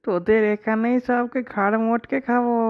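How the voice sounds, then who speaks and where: Cartoon petni-type female spirit, high, very slow, menacing, cackling and mocking. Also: narrow, tinny audio. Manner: drawn-out threats with cackling laughter.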